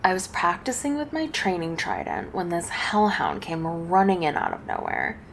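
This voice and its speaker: A woman voicing a friendly, playful and bashful succubus in a casual, chatty, gently teasing ASMR roleplay addressed to the listener. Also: lowish voice, huge pitch swings, normal speed, gravelly, a little hiss or hum, full character voice. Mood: chatty, reminiscing.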